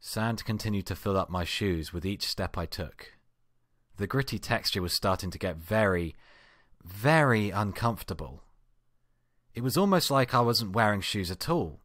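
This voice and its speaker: A man giving an amateur dramatic reading of a first-person story, with expressive, acted-out delivery. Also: fairly low pitch, moderate pace, dry recording, studio quality. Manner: expressive, acts out exasperated outbursts. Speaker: amateur fan fiction reader.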